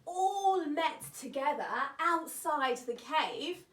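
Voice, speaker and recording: Animated, high-voiced woman telling children's stories. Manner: expressive, drawn-out emphasis. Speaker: children's storyteller and show host. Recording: roomy, distant mic, faint noise.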